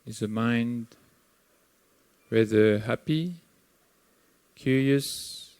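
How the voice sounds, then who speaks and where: A man with a Sri Lankan accent leads a guided meditation in a slow, unhurried voice. His tone is smooth and clear, and gently instructive. The recording is close and dry, with no room sound. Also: medium pitch, dry recording. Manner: slow, calm, gently instructive with long pauses. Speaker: meditation teacher.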